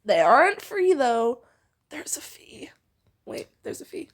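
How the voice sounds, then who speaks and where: A woman with a high voice reads a story aloud alongside a co-host. Her intonation is very animated and moves freely up and down. She keeps it playful, with a giggly, teasing way of slipping in asides. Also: takes it slowly. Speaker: fan fiction reader and co-host. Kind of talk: playful co-read story narration with banter.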